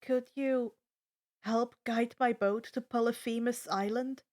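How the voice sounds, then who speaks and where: A woman's voice: a little high, fairly even intonation, slow pace, darkish tone. Woman as easygoing, awkward teenage hero boy. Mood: awkward, nervous. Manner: casual, hesitant.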